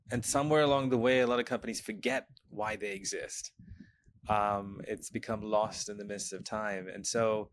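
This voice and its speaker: A man in his forties from Sri Lanka, a guest speaking from home in a measured way, often saying "you know". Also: mid-range voice, slight background noise. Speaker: guest, brand consultant. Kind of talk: casual interview chat.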